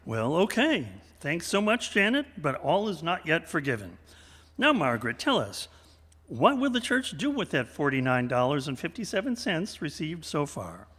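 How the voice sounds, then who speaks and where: A male host with a lively, wide-ranging voice, a little on the high side. He presents a radio variety show with theatrical flair, his words ringing in long hall reverb. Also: moderate pace, slightly rough. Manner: animated, showmanlike.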